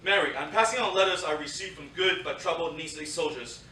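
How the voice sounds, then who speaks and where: A man playing an earnest young man in a staged reading, measured and articulate. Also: fairly high pitch, pushed and bright. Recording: roomy, distant theatre recording, faint noise.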